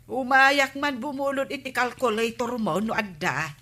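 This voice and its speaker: A woman playing a sharp-tongued, scolding village woman in an Ilocano comedy radio drama, argumentative and exasperated, with an Ilocano accent. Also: fairly high pitch, normal speed. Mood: exasperated, haggling. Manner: nagging, argumentative.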